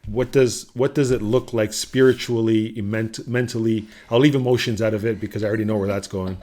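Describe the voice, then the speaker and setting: A man co-hosting a podcast, asking probing questions and encouraging his partner with steady affirmations. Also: on the low side, brisk. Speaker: podcast co-host. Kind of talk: interviewing co-host in casual chat.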